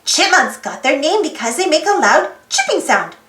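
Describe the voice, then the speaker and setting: A woman reading a children's storybook aloud in an expressive, sing-song way, putting simple questions to young listeners. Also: sits high, moderate pace, reverberant. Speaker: storybook reader. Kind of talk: children's read-aloud narration.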